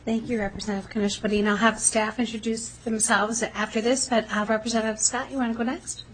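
A woman's voice: on the high side, level tone, quick pace, a little hiss or hum, poor audio. A woman chairing a legislative committee meeting, calling on members in a businesslike way.

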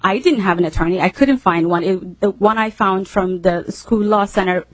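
A woman's voice: mid-range voice, normal speed, no room sound. A woman testifying at a public hearing, reading a statement and recounting her own experience as a parent. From Somalia.

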